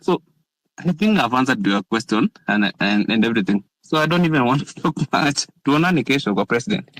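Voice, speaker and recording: A man talking casually in a live audio chat, mixing English and Swahili slang in an animated way. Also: mid-range voice, brisk, low-quality recording.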